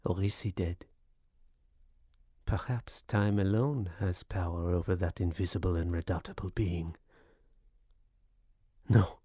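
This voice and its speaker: An actor playing a tormented, paranoid gentleman losing his mind, in a theatrical radio suspense style with a husky voice. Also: low voice, dry recording, studio quality, exaggerated voice. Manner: theatrical, brooding monologue swelling to frenzy. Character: tormented paranoid Frenchman gentleman descending into madness. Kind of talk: radio suspense drama acting.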